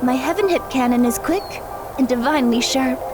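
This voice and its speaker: A woman's voice: sits high, brisk, over music, lightly acted. A woman playing a polite, earnest and proud young female martial artist in an audio drama.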